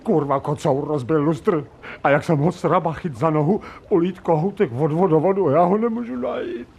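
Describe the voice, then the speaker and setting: An insolent dog turned human in a radio drama, coarse and slangy. The voice is fairly high and grumbling, on a slightly noisy recording. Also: male voice, creaky, darkish tone. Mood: grumbling, frustrated. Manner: coarse, foul-mouthed, slangy. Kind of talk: theatrical radio drama acting.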